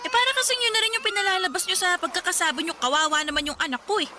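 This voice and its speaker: A woman's voice: extremely high pitch, rapid-fire, pushed and bright, slight background noise, exaggerated voice. A woman playing a sullen, resentful young mother in a Filipino radio drama, whining and talking back defiantly. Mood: irritated. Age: young adult. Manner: whiny, complaining, talking back.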